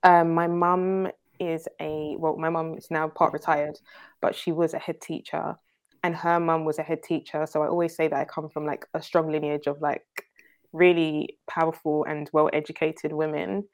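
A young London woman with a notably low voice, speaking as an interview guest. She talks in a relaxed, chatty way and laughs readily. Her speech is loose and filled with "like" and "um.". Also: moderate pace. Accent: London English. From North London, England. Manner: chatty, laughs often, leans on "like" and "um". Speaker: guest entrepreneur. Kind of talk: casual interview talk.

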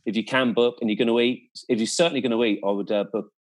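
A man's voice: medium pitch, fairly even intonation, fast talker. A man in a casual, conversational chat, recounting his experiences as a guest in an enthusiastic, descriptive and emphatic way.

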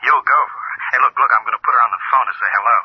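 An actor playing an eager, smitten newspaper reporter with a husky, excitable, breezy delivery over a telephone, in 1940s radio drama style. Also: male voice, medium pitch, very fast, dry recording. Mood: excited. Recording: dull, dry 1940s radio recording.

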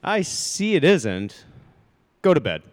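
A man's voice: a little high, very animated, takes it slowly. A man playing a dismissive, firm husband and father in a radio play. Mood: firm, probing.

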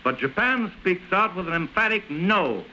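A man's voice: high voice, expressive, moderate pace, sharp tone. An American man delivering oratorical, emphatic 1930s radio news commentary on a noisy old recording.

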